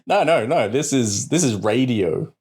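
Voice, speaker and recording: A man co-hosting a tech podcast in casual chat, halting at times, with dry irony. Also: mid-range voice, lively intonation, a little mellow, breathy edge. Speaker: co-host of a tech news podcast.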